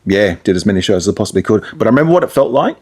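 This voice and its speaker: A man's voice: fairly low pitch, sing-song, racing, darkish tone. A man talking casually in an interview as a radio presenter, explaining things through anecdotes and asides.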